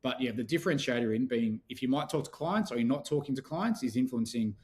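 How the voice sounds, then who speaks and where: A man being interviewed as a company founder on a podcast, explaining things in a steady, practical way. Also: medium pitch, fast talker. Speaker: podcast guest, business founder. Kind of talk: interview conversation.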